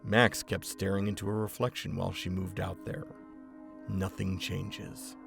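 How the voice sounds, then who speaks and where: A man narrating a story in a measured, reflective way. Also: low voice, little pitch movement, vocal fry, heavily acted. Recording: loud music bed under voice.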